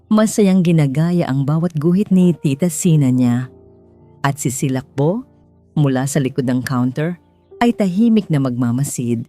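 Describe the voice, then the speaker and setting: A woman's voice: low voice, lively intonation, unhurried, warm tone. A woman with a Filipino accent narrating a scripted dramatic romance story, as a storyteller. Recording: music under the voice. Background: background music.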